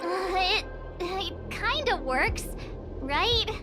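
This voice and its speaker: A bubbly young storyteller narrates playfully in a very high, bouncy voice. It is a game cutscene with music clearly underneath. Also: female voice, lively intonation, drawn-out words, exaggerated voice. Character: bubbly young woman narrating a made-up tale. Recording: game audio over music.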